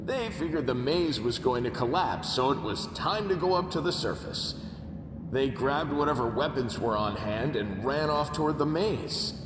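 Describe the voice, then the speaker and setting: An actor playing a determined, wry young underground tribe fighter in a video game, earnest with a streak of dry irony. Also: male voice, medium pitch, animated, normal speed, noisy background, natural voice. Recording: echoey distant hall, music behind.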